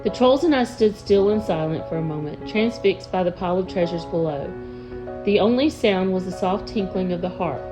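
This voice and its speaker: A woman's voice: fairly low pitch, moderate pace, over music, poor audio. A woman narrating a children's story expressively, as a teacher reading a book aloud.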